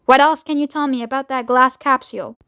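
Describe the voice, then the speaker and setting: Woman acting a blustering male President, rasping, bossy, pompous, short-tempered, children's audiobook, dry close sound. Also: high-pitched, fairly even intonation, a little fast, pushed and bright, no echo. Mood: impatient.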